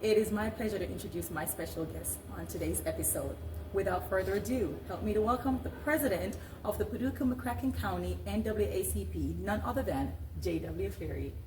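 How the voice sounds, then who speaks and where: A woman presents a talk show in an announcer-like way. Her voice is medium in pitch. It sits back from the microphone in a very roomy space, with noticeable noise behind it. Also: fairly quick. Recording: roomy echo, distant mic, clear background noise.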